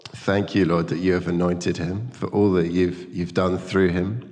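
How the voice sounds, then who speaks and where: A man's voice: deep voice, little pitch movement, unhurried, a little mellow. A British man hosting a church gathering in an informal, conversational way. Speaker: church host introducing a guest. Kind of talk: informal hosting and spoken prayer. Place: large church hall, speaking to a congregation. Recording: echoing reverb of a large hall.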